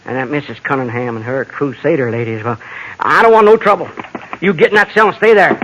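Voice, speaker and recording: A man playing a blustering frontier sheriff in a radio western. He is gruff, blunt and irritable, with a rural western American twang and a high, sharp, forceful voice on a slightly hissy old recording. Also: a little fast, natural voice, rough recording. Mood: stern, exasperated. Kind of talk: 1950s radio western acting.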